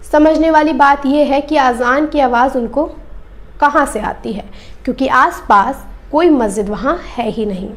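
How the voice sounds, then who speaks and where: Female news presenter with a high voice, delivering scripted commentary straight to the audience. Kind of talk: scripted news commentary, addressing viewers directly.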